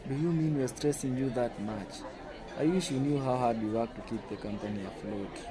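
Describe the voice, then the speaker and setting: A man's voice: mid-range voice, normal speed, a crowd in the background, close to an everyday voice, poor audio. Earnest smitten young employee, acted by a man, busy background. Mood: probing. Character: earnest smitten male employee comforting his boss. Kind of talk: amateur radio drama dialogue.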